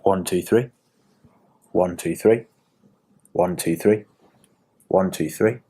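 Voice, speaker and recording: A man, a patient, slowly and quietly repeating numbers on request. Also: low-pitched, slightly rough. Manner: quiet, slow, compliant counting.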